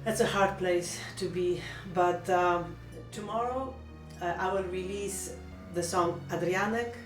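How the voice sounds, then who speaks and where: A middle-aged woman with a Polish accent, speaking in a gentle, earnest and reflective way in a heartfelt personal vlog. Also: lowish voice, echoey room, recorded from a distance. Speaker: vlogger.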